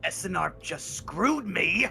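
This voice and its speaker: A man voicing a drunk, furious male thug who shouts threats in a video game read-along. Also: high voice, big pitch swings, takes it slowly, vocal fry, soft background music, exaggerated voice. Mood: enraged shouting.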